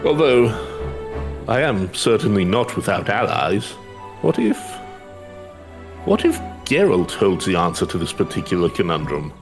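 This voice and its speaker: A man's voice: deep voice, big pitch swings, unhurried, long pauses, music in the background. A man voicing an elderly scholar in a fantasy game, earnest and anxious, with formal, archaic and hesitant speech. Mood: tentative, persuasive. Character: elderly male scholar, courteous, earnest, anxious, devoted to research. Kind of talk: fantasy game dialogue read aloud.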